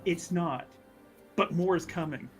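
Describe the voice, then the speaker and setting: A man's voice: on the high side, measured pace, soft background music, lightly acted. A man voicing a brash, pushy fellow peddling his screenplay in a tabletop game, boastful and insistent. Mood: persuasive.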